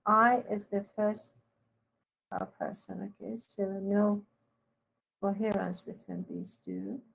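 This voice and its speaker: A woman teacher giving halting, corrective and instructive one-to-one feedback on a student's writing. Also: mid-range voice, steady tone, creaky, a bit bright, poor audio.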